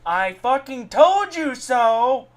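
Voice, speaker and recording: A man's voice: extremely high pitch, very animated, slow. A man co-hosting a podcast with blunt, sweary, casual banter. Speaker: podcast co-host.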